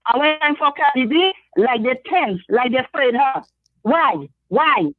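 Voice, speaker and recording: A woman from Trinidad with a Trinidadian accent, calling in to a talk show and speaking hesitantly with many pauses. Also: high-pitched, lively intonation, no room sound. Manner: hesitant, lots of ums. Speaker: caller. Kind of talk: phone call-in. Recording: phone line.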